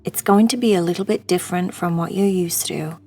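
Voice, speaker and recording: A woman guiding a sleep meditation in a hushed, soothing, breathy voice that often nears a whisper. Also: fairly low pitch, fairly quick, soft background music. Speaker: meditation guide.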